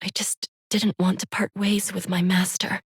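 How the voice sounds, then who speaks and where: A woman's voice: mid-range voice, little pitch movement, normal speed, husky, no room sound. A woman playing a devoted, wistful young female pupil, telling a story in dramatic, reflective game narration. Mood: sorrowful. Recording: loud music behind.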